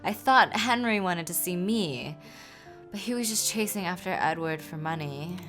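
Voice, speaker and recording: A woman's voice: lowish voice, lively intonation, moderate pace, over music, close to an everyday voice. A woman voicing an earnest, genteel young Regency lady with polite, formal period speech, for a game. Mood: downcast.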